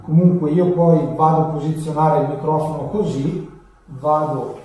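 A man in his thirties giving a casual, unscripted tutorial in a halting, hesitant way. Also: a little high, fairly even intonation, mellow. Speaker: motovlogger presenting a tutorial. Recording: echoey room, distant camera mic.

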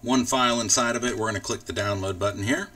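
A man with a fairly low voice talks through a software tutorial. He explains each step in an informal, casual way. He sounds set back from the microphone. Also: quick pace, recorded from a distance. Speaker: tutorial host. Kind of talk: casual screen-recorded software walkthrough.

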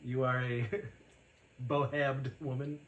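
A man in his forties hosting a casual chat, speaking loudly and projecting, with frequent chuckles. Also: medium pitch, level tone, slow pace, reverberant, dull audio. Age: 40s. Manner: loud, projecting voice, chuckles often. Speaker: podcast host. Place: living room full of shelves.

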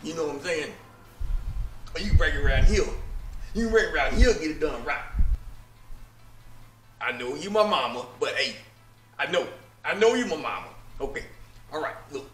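Dude doing a memphis shade tree mechanic, sleazy and smooth talking, bright voice, outside in a driveway. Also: male voice, on the high side, lively intonation, moderate pace, long pauses, a little hiss or hum, poor audio. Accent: Memphis Southern. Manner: slick drawling, rambling, sly. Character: sleazy flirtatious middle-aged male backyard mechanic. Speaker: comedy skit actor. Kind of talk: improvised comedy skit. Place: outdoors in a suburban driveway.

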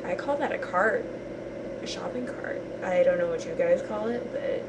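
Slow, hesitant teen girl on a webcam. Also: medium pitch, heavy background noise. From Puerto Rico. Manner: hesitant, rambling, many ums and likes. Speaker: vlogger. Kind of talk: casual webcam vlog. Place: bedroom, indoors. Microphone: built-in webcam mic.

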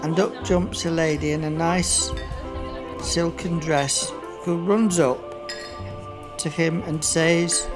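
A woman narrating a scripted radio play, reading in a halting way. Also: low-pitched, steady tone. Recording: music behind the voice.

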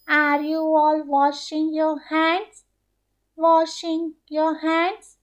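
A woman's voice: extremely high pitch, muffled recording, heavily acted. A woman voicing a cheerful, friendly young puppet dog in a children's show, talking to little viewers in a sing-song, repetitive way. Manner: slow, sing-song, repeats questions to children. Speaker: puppet show presenter for toddlers. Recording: echoey room, music behind.

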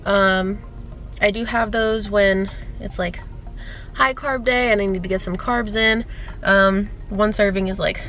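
A woman's voice: medium pitch, fairly even intonation, measured pace, fairly bright tone, faint music behind. Young woman vlogger, rambling casual chat to camera at arm's length. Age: young adult. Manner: chatty, rambling, filler-heavy. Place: grocery store, car and bedroom. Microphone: handheld camera at arm's length.

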